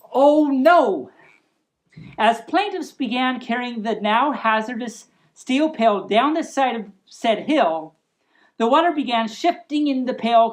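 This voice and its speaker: A woman with a fairly high voice hosts a storytime. She reads formal text aloud and slips into casual, playful asides as she goes. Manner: playful, chuckling asides between formal reading. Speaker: storytime host.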